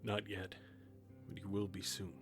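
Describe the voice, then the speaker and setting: A very low-voiced, solemn grim reaper for an audio drama. He speaks in a calm, measured, matter-of-fact way, with music clearly playing behind his words.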